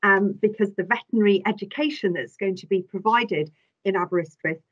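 Older woman from England, talkative guest, mid-pitched, muffled call audio. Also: a little fast. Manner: talkative, warm storytelling with hesitations. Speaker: chief veterinary officer, guest. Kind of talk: conversational interview answers. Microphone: video call. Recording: slightly dull video-call audio.

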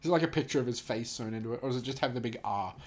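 A man's voice: medium pitch, racing. A man reading a story aloud casually with amused, incredulous commentary, often laughing and swearing as he goes. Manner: giggly, laughs through his reading.

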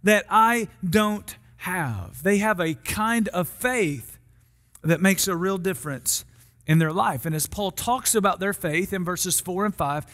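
High-pitched American pastor in a reverberant hall. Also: male voice, fairly bright tone. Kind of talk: sermon. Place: large church auditorium stage. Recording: long hall reverb from stage sound system.

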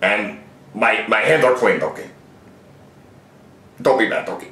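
A man voicing a crude, childish, evasive ogre with halting, sheepish delivery in a comic puppet show. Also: fairly low pitch, bright, forceful tone. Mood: sheepish, stalling. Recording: very echoey room.

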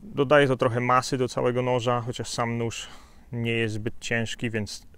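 A man in his thirties from Poland, reviewing a bushcraft knife in a relaxed, chatty way with fillers and asides, recorded outdoors on a hillside with a lapel mic. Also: mid-range voice, normal speed, clear, resonant tone. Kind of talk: casual outdoor gear review.

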